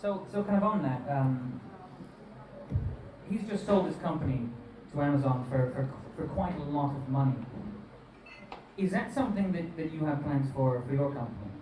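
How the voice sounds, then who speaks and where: A man's voice: fairly high pitch, animated, moderate pace. A man interviewing at an event, asking questions hesitantly and restarting words. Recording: echoey room, mic far off, background noise.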